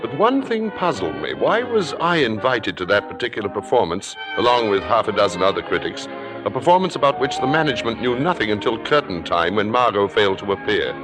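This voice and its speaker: Arch, knowing critic-narrator, precise and highly inflected, vintage radio drama, music underneath. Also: male voice, big pitch swings, brisk, rough recording. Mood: arch intrigue. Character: suave, knowing male theater critic, drily suspicious.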